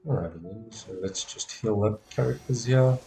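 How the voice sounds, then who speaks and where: A man's voice: lowish voice, moderate pace, gravelly, mellow. A man streaming a video game, commenting casually to himself, often repeating short phrases and swearing mildly. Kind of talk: casual live gameplay commentary, talking to himself. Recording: game music behind the voice. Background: video game music.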